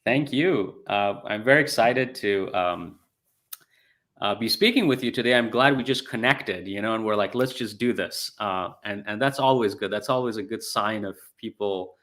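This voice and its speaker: A man hosting a conversational interview, speaking in a halting, hesitant way. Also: on the low side, a bit bright. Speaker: interview host.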